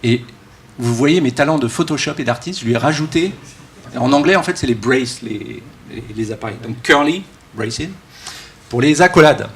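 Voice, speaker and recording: A man speaks informally as a conference keynote speaker, joking and halting with many false starts. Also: mid-range voice, expressive. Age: early 40s. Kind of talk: casual, joking conference talk. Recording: live talk with background room noise.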